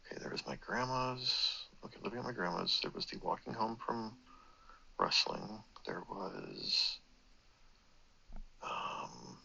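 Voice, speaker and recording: A man's voice: on the low side, big pitch swings, measured pace, creaky, bright, forceful tone, lo-fi sound, a little hiss or hum. A man with a sore throat telling a long personal story, meandering and correcting himself, swearing casually. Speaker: vlogger. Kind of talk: rambling personal monologue.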